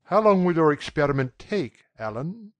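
A man voicing a handsome young gentleman in a dramatic audiobook, brisk and authoritative with a nervy edge. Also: a little high, no room sound, voice pushed up. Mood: calm, commanding. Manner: brisk, authoritative, calm surface.

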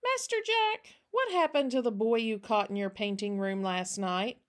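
A woman's voice: fairly high pitch, animated, normal speed, close to an everyday voice. A woman voicing an eager, earnest and curious little girl in a storybook reading. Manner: bouncy, questioning, earnest.

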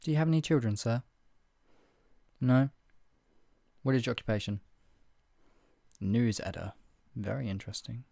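A man's voice: mid-range voice, expressive, moderate pace, vocal fry, long pauses, mellow. A man playing a stern, menacing redcoat soldier in a scripted roleplay interrogation, with a clipped, authoritative, condescendingly polite manner. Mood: brisk, officious. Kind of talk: ASMR roleplay, one-sided scripted dialogue.

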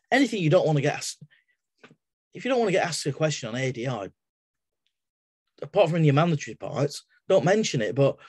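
A man's voice: a little high, a little mellow, dry recording. A middle-aged man with an English accent, talking casually on a video call from his home study as a webinar speaker, digressing into everyday examples and often restarting his sentences. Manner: chatty, digressive, with everyday analogies and frequent restarts. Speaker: webinar guest speaker, exam assessor. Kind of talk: casual explanatory talk in a webinar. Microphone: laptop webcam on a video call.

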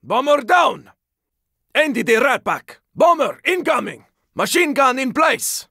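A battle-weary man fighting through a zombie apocalypse in a video game. His voice is pitched very high for a man and is sharp and tense, with a rough rasp. He throws out short, forceful callouts in a close, dry recording. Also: big pitch swings, takes it slowly, no echo, full character voice. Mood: urgent, battle-ready. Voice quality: raspy, gravelly. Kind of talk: video game combat barks.

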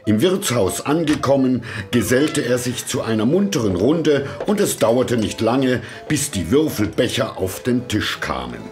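Slow, expressive male storyteller. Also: medium pitch. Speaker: narrator. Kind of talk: scripted fairy tale narration. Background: music.

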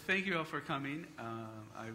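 A middle-aged man, a native English speaker, giving a relaxed conference talk at a podium with frequent fillers. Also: mid-range voice, normal speed, background noise. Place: hotel conference hall. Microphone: podium microphone.

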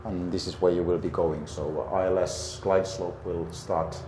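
A middle-aged man calmly coaching a pilot step by step in a flight simulator, encouraging and full of pauses and fillers. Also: low-pitched. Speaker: flight instructor. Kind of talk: patient step-by-step instruction. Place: indoor flight simulator dome. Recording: roomy with background hum.

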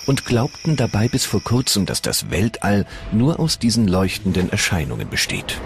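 A man narrating a science documentary in a measured, solemn way over music. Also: fairly low pitch, huge pitch swings, gravelly, slight background noise. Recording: voice-over with loud music behind.

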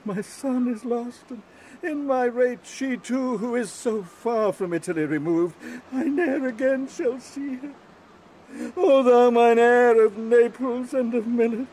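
Heartbroken king, shakespeare audio drama, slow mournful delivery, high for a man, faint noise. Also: darkish tone, low-quality recording. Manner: mournful, anguished. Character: grief-stricken, despairing king and father. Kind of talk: Shakespearean audio play acting.

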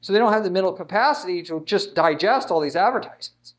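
Guy in his 30s with a pretty high voice explaining marketing stuff, chatty and emphatic. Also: animated, fast talker. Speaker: marketing instructor. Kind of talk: informal whiteboard lesson, explaining to camera. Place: indoors in front of a whiteboard. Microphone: lapel mic.

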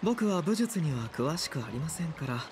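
An actor playing a polite, gentle young male physician in a game dub, explaining things in a measured way. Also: on the high side, big pitch swings, over music. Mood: calm.

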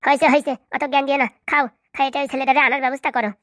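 A woman's voice: high-pitched, flat delivery, very fast, a bit bright, no echo, studio quality. A woman voicing a scornful, nagging wife in a comic cartoon, scolding with sarcasm.

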